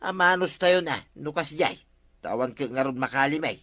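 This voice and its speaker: A man's voice: fairly high pitch, lively intonation, moderate pace, a bit bright, dry recording, clean studio sound. A man with an Ilocano accent playing a stubborn, grumbling treasure-hunting villager in a Filipino comedy radio drama. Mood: insistent.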